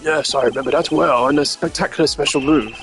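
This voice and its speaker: A man's voice: a little high, quick pace, a bit bright, soft background music. A man voicing a smug, haughty old ambassador under suspicion in a video game, pompous and condescending. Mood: gushing.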